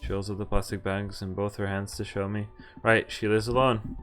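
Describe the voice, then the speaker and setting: Animated male streamer over game music. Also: lowish voice, normal speed. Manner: stumbles over words while reading and corrects himself; animated, jokey reactions. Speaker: game streamer doing a visual novel playthrough. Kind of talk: reading game text aloud with casual commentary.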